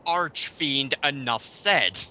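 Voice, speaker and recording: A man's voice: mid-range voice, pushed and bright, slight background noise. A man ranting casually in a group commentary, scornful and exclamatory, swearing as he goes. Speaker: co-host of a mocking commentary.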